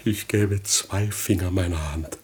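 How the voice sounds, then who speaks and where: A man's voice: low voice, a little slow, a little mellow, slight background noise. An actor playing a pompous, foolish, blustering rich father in a 1960s German radio comedy. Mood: wistful vanity.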